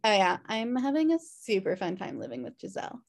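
A woman's voice: mid-range voice, sing-song, fairly quick, gravelly. A woman playing a messy, guilt-ridden, wry sister in a sitcom table read, rambling hesitantly. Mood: apologetic, wry. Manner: rambling, hesitant, self-deprecating. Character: messy, guilt-ridden, wry younger-at-heart woman pleading for her brother. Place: home room on a video call.

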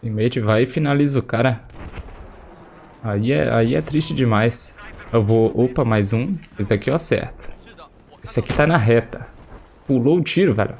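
A man's voice: medium pitch, normal speed, vocal fry, long pauses, darkish tone, slight background noise. Young Brazilian man with a cold. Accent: Brazilian Portuguese. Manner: chatty, slangy, exclaims at the action. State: recovering from a cold, voice slightly affected. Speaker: gameplay streamer. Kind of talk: casual gameplay commentary. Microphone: headset. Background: faint game gunfire and explosions.

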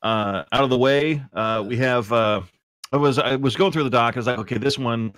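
A man's voice: medium pitch, a little fast, a bit bright, no echo. A man hosting a live podcast, introducing items in a casual, chatty way. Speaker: podcast host.

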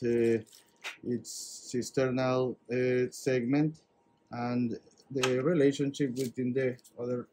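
A man's voice: mid-range voice, dark tone. Earnest man from El Salvador. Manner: earnest, hesitant, slightly broken English. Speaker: visiting neurosurgery fellow. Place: anatomy lab.

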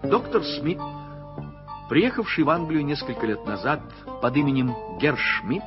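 Male narrator for a vintage radio play: measured storytelling, dull old sound with music behind. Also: a little high, very animated. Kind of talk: scripted literary narration.

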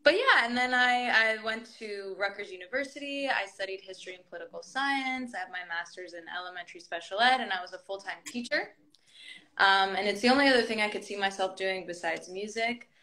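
Woman, 30s, upbeat interview guest, clear bright tone, webcam audio. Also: medium pitch, brisk, poor audio. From United States. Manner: chatty and upbeat, storytelling. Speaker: guest singer. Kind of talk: casual interview chat. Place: indoor room at home. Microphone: video call webcam.

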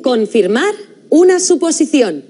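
A young woman with a Spain accent presents a TV Spanish course, speaking clearly and deliberately in a studio. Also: high-pitched, big pitch swings, over music. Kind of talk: scripted educational presenting. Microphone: studio mic, framed close.

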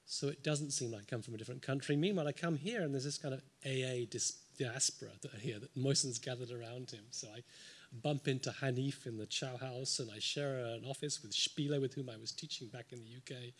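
A man from the United Kingdom, giving an unscripted panel response in a large lecture hall into a table microphone, thoughtful with frequent ums and dry asides. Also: mid-range voice. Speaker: symposium panelist.

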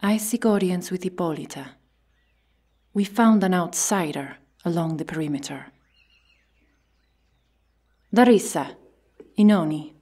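A woman acting a slow, breathy, stern Amazon warrior. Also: on the low side, mellow, no room sound. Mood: commanding. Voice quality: breathy, often whispering. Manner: formal, solemn, deliberate phrasing. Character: stern, commanding Amazon warrior woman guarding sacred jungle territory. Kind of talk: ASMR roleplay acting.

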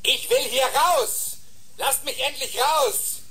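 An actor playing a raging, confused astronaut in quarantine, shouting desperately and abusively in a radio drama. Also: male voice, very high voice, takes it slowly, full character voice, rough recording. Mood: desperate rage. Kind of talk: German science-fiction radio drama acting.

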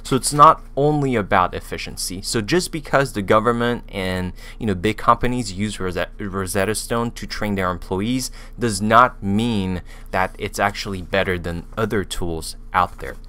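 Low bright-toned man with a French accent. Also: moderate pace, hardly any pauses. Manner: hesitant, many fillers and restarts. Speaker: language learning YouTuber. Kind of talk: casual video review. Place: small room indoors. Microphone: studio mic with pop filter on boom arm.

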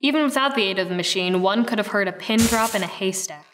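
A woman playing a wry, measured narrator in a radio play, reading like a storybook. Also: medium pitch, fairly bright tone, in a large hall, close to an everyday voice. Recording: noisy recording with clicks.

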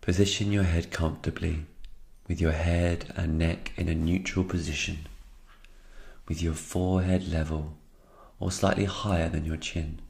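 A man with a very deep voice leads a guided meditation. His delivery is calm and soothing, staying flat and even in tone, with a gravelly creak at the edges. He leaves unhurried pauses between short phrases. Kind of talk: slow guided meditation narration.

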